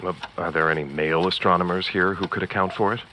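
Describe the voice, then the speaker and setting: A man's voice: low-pitched, steady tone, fast, pushed and bright, a little hiss or hum. A man playing a pompous, condescending radio field reporter in formal, theatrical 1930s newscast style. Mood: smug. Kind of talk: 1930s radio news acting.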